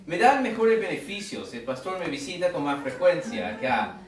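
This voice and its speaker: A man's voice: on the high side, big pitch swings, normal speed, far from the mic. American man preaching in an echoey hall. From United States. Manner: humorous, conversational, with asides and rhetorical questions. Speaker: pastor. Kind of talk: sermon.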